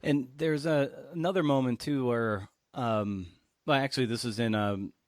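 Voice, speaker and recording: A man hosting a podcast, asking questions conversationally in a halting, rambling way. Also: medium pitch, no echo, studio quality. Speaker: podcast host. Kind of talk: conversational interviewing.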